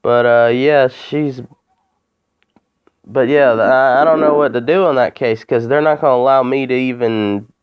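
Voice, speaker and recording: Male caller, clear smooth voice, rambling and halting, repetitive, muffled phone recording. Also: mid-range voice. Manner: halting, rambling, repeating himself. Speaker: caller making a complaint. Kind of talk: phone call, informal account.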